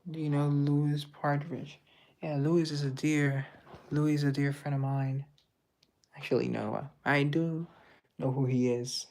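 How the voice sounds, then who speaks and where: A man's voice: on the high side, little pitch movement, a little slow, creaky, darkish tone. A man hosting a casual social media live, reading out viewer comments and answering them in a teasing, joking, chatty way over a phone mic. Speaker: live-stream host.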